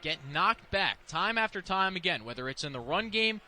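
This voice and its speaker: A man's voice: high-pitched, animated, normal speed, sharp tone. A man giving analytical color commentary on a live radio football broadcast, explaining plays and offering opinions, with stadium noise behind him.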